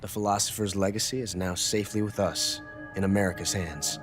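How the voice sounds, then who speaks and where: An actor playing a scheming male double agent in a video game, calmly reporting by phone in a measured, conspiratorial way. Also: low-pitched, lightly acted. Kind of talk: video game cutscene dialogue. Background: game music score.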